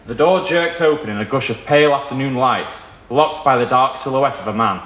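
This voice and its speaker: A young man reading a literary short story aloud in a measured, dramatic way. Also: mid-range voice, a bit bright, echoey room. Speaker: reader at a live short story event. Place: small indoor performance room with wood panelling.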